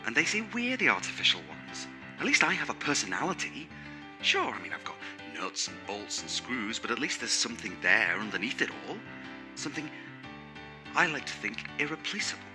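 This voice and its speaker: Sardonic robot crewmate for sci-fi audio drama, male actor: conceited, rambling, theatrical, very animated intonation over music. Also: fairly low pitch. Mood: bitter, scornful. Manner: theatrical, sarcastic, rambling monologue. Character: vain, sardonic, sweary male robot crewmate, insecure underneath. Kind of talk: scripted audio drama monologue.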